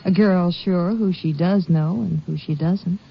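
Radio-play waitress: pert, breezy, slippery, lowish register, dull vintage sound. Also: female voice, unhurried, vocal fry, slightly rough, full character voice. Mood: evasive. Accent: American. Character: pert, evasive red-headed young waitress. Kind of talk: 1950s radio acting. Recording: muffled old radio, faint noise.

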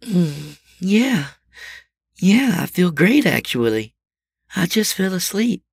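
A man voicing a cheerful, excited middle-aged wife in a storybook reading, with a raspy, gravelly voice. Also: slow, no room sound, clean studio sound, heavily acted. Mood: drowsy, cheerful. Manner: bright, excited, swooping up high.

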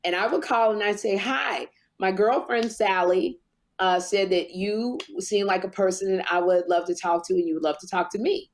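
A woman talking casually among friends, spinning a long story in unhurried, drawn-out phrases. Her pitch sits in the middle of the range. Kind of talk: casual group chat.

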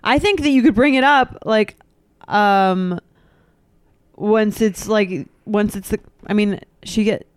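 Woman, 30s, smooth clear voice, dry close mic, relaxed podcast co-host. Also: mid-range voice, slow pace, dry recording. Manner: relaxed, thinks aloud, reacts with short agreements. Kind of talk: casual chat.

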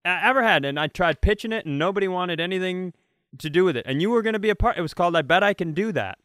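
A man's voice: high-pitched, animated, fast talker, fairly bright tone, no echo, clean studio sound. A man co-hosting a podcast, telling casual comic stories in a competitive, swearing way. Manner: profane, competitive storyteller. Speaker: podcast co-host.

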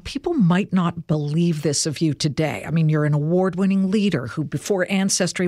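A woman's voice: on the low side, mellow, dry recording, studio quality. A woman hosting an interview, asking questions in a chatty, opinionated way with asides and restarts. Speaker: interview host. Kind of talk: conversational interview.

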